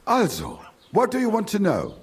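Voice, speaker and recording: An actor playing a grumbling, condescending village merchant in a video game, with a German accent and German phrases slipped in. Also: male voice, high voice, huge pitch swings, normal speed, breathy edge, heavily acted. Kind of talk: video game character dialogue.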